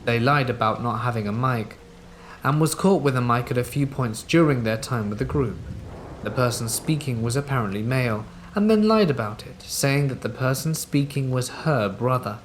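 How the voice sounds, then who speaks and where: A man narrating listener-submitted true scary stories in a steady, even reading. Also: mid-range voice, moderate pace, slight background noise. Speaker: horror story narrator.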